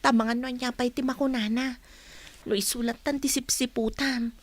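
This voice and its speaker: An actress playing a shy, hardworking young woman office worker in an Ilocano radio drama, speaking softly and modestly. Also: on the high side, steady tone, moderate pace, close to an everyday voice. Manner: soft-spoken, modest.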